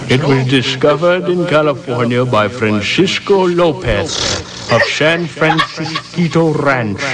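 A man reading absurd mock news bulletins in a deadpan announcer manner, in front of a live audience. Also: on the high side. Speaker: comedy troupe member.

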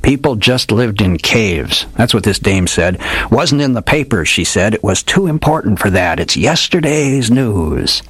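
Guy putting on a smug old gumshoe, sonorous, arch tough-guy talk, kinda husky. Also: on the low side, sing-song, unhurried, a bit bright, dry recording, exaggerated voice. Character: old male hardboiled detective, sonorous and smug. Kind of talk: reading a story aloud.

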